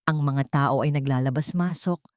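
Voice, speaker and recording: A woman's voice: extremely low pitch, normal speed, darkish tone, no echo. A woman reading a scripted, dramatic first-person story as an audio narrator.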